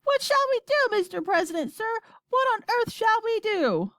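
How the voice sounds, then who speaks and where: A woman voicing a panicking male astronaut in a children's story, with frantic, desperate shouting. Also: very high voice, sing-song, normal speed, no room sound, clean studio sound, pitched above the performer's own voice, full character voice.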